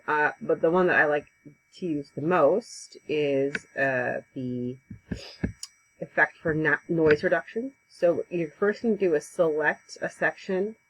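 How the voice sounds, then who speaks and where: A woman giving a casual, conversational software tutorial over a headset mic, with frequent ums. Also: sits low, breathy edge, lo-fi sound. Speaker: instructor. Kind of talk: screen-recorded software tutorial.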